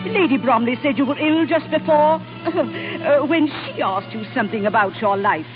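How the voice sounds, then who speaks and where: An actress playing a genteel, nosy high-society lady in a vintage radio drama, speaking with prim, hesitant politeness. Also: normal speed, over music, close to an everyday voice. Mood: prying. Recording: dull old radio broadcast.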